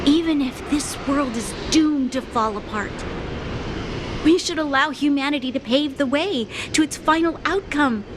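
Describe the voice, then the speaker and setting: An actress playing an earnest, principled young guardian and dutiful daughter in a video game, speaking formally and with resolve. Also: female voice, high-pitched, background noise. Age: young adult. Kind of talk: dramatic video game voice acting.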